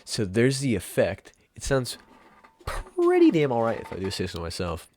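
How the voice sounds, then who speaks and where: A young man hosting a casual software tutorial in an informal, rambling way. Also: mid-range voice, huge pitch swings, moderate pace, slight background noise. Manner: informal, rambling, mild swearing. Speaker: tutorial host. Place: room at home.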